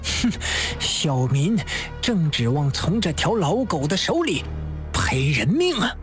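A woman's voice: medium pitch, big pitch swings, unhurried, soft background music. A woman voicing a distraught, hot-tempered father pleading in court, agitated, indignant and emphatic, in a storytelling performance. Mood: furious.

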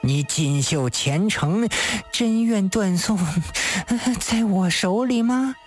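A storyteller voicing a sly, mocking old Taoist master in an audiobook, gloating at a leisurely pace with drawn-out interjections. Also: mid-range voice, soft background music, close to an everyday voice. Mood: mocking, scornful.

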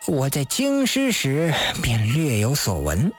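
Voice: mid-range voice, wildly swooping pitch, pitched below the performer's own voice. Sly old taoist master villain, smug n gloating, takes his time, swoops around, music under it. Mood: mock admiring. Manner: leisurely, gloating, chuckling, drawn-out interjections.

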